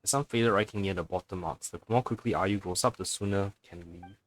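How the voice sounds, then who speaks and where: A man's voice: low-pitched, fairly quick. Casual man streaming a game. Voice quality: casual, swears. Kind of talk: let's play, reading game text aloud. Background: faint game music.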